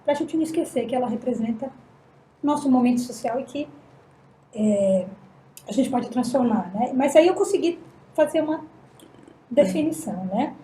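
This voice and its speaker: A middle-aged Brazilian woman with a mellow, fairly high voice talks through ideas in a reflective way, checking in with "né?" as she goes. Her voice rings in an echoey room, with a faint hum of ambience behind it. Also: expressive, normal speed, pauses often. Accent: Brazilian Portuguese. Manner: thoughtful, often adds "né?". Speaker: psychologist guest on a panel podcast. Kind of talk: reflective panel discussion.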